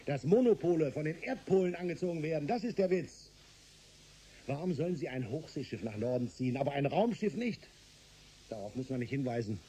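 An actor playing a worried, defensive space-station physicist with a husky voice in a German science-fiction radio play. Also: male voice, fairly high pitch, lively intonation, fairly quick, mellow.

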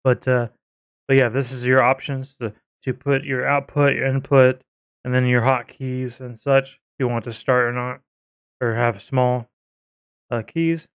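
Man casually demoing software, clean dry sound. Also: medium pitch, little pitch movement, unhurried, smooth, no echo. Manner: informal, hesitant, rambling. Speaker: video creator reviewing software. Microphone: close desktop microphone.

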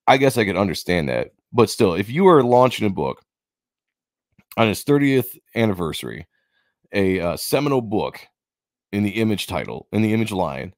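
Deep-voiced man ranting about comics. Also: darkish tone, no room sound, studio quality. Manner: rambling, opinionated, repeats himself. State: losing his voice, coughing. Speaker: comic book channel host. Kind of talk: casual opinion commentary.

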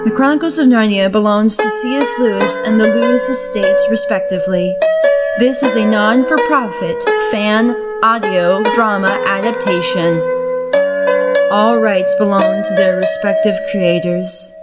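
Woman announcer over loud plucked music. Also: medium pitch, measured pace. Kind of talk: scripted narration, opening credits.

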